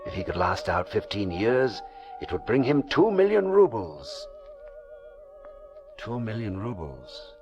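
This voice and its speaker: Very deep solemn male radio narrator. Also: wildly swooping pitch, a little slow, long pauses, a little mellow, dull audio, faint music behind. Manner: solemn, suspenseful, rhetorical. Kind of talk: old-time radio dramatic narration.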